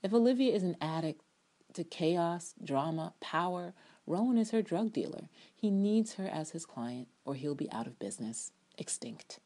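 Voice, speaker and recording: Female reader, low pitch, delivering sardonic TV commentary as a dramatic, emphatic essay reading. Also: lively intonation, normal speed, warm tone. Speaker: TV drama blogger reading own review aloud.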